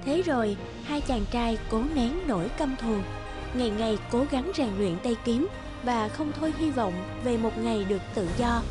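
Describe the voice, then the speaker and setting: A woman's voice: fairly high pitch, normal speed. A woman reading scripted fairy-tale narration as a storyteller. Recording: music behind the voice. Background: music.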